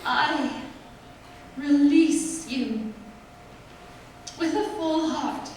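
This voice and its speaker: A woman playing a sorrowful, resolute young fiancée in a period radio play, with measured, earnest delivery. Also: high voice. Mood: sad, resolute. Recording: distant echoey hall, faint noise.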